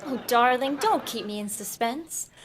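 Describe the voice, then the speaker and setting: A sweet, scatterbrained wife in a 1950s-style radio comedy. Her voice is high and lilting, and she sounds dizzy and innocent as she delivers her lines. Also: lively intonation, measured pace, fairly bright tone. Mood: sweetly dizzy. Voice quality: sugary, dizzy.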